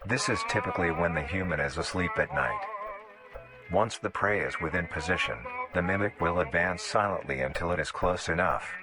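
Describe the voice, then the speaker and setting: A man reading scripted documentary-style narration in a flat, clinical way. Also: sits low, brisk, low-quality recording. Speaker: narrator of a horror video. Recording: narration played over music.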